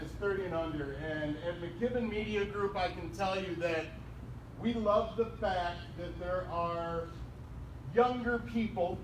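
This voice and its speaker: A man in his forties emceeing an awards event, working the crowd with loud jokes in a big booming voice. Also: far from the mic. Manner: loud, joking crowd work. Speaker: radio host acting as emcee. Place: large indoor event room. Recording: echoey event hall, mic from afar, noisy.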